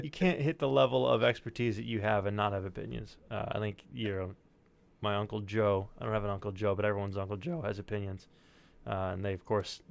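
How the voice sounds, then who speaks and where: A man's voice: on the low side, gravelly. A man hosting a casual chat show, talking in a wordy, joking way and swearing freely. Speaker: podcast and stream host.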